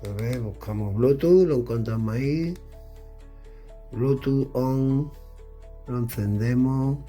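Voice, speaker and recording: Mellow-voiced man demoing radio gear. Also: mid-range voice, slow pace, soft background music. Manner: chatty, thinking aloud while fiddling with devices. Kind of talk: casual product demonstration. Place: indoors.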